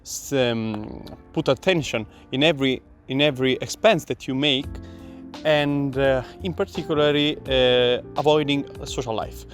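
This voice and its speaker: A man's voice: medium pitch, moderate pace, faint music behind. Italian young man with blunt advice. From Italy. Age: young adult. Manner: frequent restarts, blunt advice. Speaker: exchange student. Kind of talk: interview answers.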